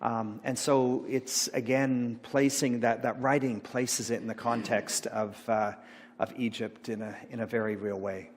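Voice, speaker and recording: A middle-aged man giving an informal sermon, rambling and halting with many fillers and restarts. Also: medium pitch, level tone. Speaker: pastor. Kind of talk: informal sermon talk about slides. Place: church sanctuary. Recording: long church hall reverb.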